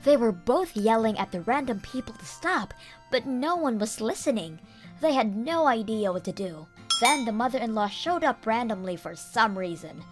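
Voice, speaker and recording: A woman's voice: fairly high pitch, lively intonation, normal speed, faint music behind. A woman reading scripted first-person story narration for a manga dub.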